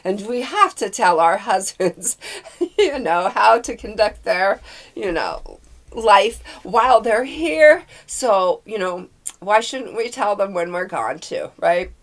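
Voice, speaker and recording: A woman's voice: mid-range voice, animated, takes it slowly. A woman with a cold and a congested, nasal voice, chatting and rambling about the books she is reading. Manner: rambling, chatty, full of fillers. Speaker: book vlogger. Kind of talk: casual chat about books.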